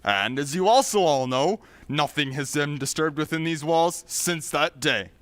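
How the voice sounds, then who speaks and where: A man's voice: on the high side, expressive, unhurried, fairly bright tone. A man playing an earnest, authoritative town meeting leader in a radio play, emphatic and formal. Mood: commanding. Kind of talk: old-time radio drama acting.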